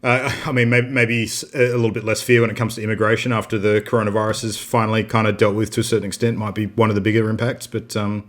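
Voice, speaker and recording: A man's voice: lowish voice, level tone, fast talker, few pauses. Laid-back man hosting a casual podcast. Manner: laughs often, rambling and loose. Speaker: podcast host. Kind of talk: casual chat. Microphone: video call.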